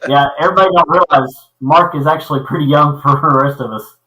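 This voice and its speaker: Halting man in his thirties, echoey. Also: a little high, pushed and bright. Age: 30s. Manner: halting, repeats words and fills with uh. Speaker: podcast host. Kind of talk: casual livestream chat. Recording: echoey room.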